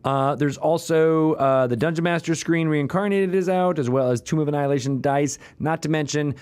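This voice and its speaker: A man hosting a podcast, giving casual announcements and banter in a halting, rambling way, often correcting himself. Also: fairly high pitch, darkish tone, smooth. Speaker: podcast host.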